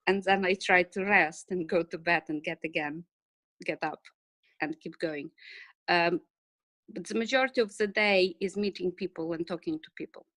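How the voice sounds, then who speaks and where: A middle-aged woman with an Eastern European accent, answering interview questions casually in short, halting phrases. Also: fairly low pitch, a bit bright, no room sound. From Ukraine and Russia. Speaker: interview guest, financial services business owner.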